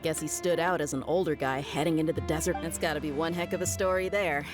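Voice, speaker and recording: Woman playing a brash, low-voiced mercenary. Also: steady tone, fast, close to an everyday voice. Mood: friendly, casual. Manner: casual, teasing, breezy. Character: brash, easygoing young female mercenary, teasing and confident. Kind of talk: video game character dialogue. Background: music.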